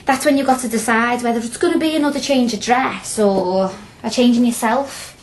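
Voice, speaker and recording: An actress plays a young working-class woman from a radio play, with a fairly high voice and a Liverpool accent. She is feisty and blunt, talks in a chatty, colloquial way and is hungry to learn. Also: moderate pace, a little hiss or hum, close to an everyday voice, poor audio. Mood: chatty, reflective. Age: young adult. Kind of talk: radio drama acting.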